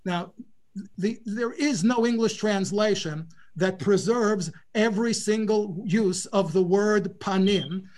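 A man leading an informal class discussion as a guest lecturer, animated, often repeating words as he starts a thought. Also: high voice, takes it slowly.

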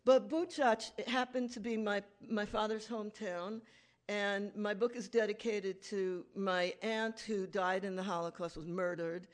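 A woman's voice: mid-range voice, steady tone, a little slow. A woman lecturer giving a conversational academic talk with slides, correcting herself and making asides to the audience.

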